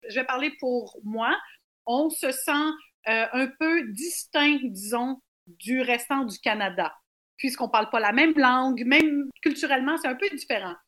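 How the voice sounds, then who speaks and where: Lively high-voiced Québécoise woman. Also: a little slow. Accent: Québécois French. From Quebec. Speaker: guest performer. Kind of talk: radio interview.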